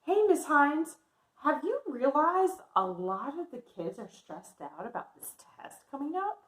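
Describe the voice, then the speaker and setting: A woman voicing an eager, slightly nervous schoolgirl in a children's storybook, with expressive, emphatic delivery. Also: high voice, measured pace, voice pushed up, heavily acted. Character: eager, nervous schoolgirl Amelia narrating her own story. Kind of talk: animated children's storybook reading. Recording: echoey room sound.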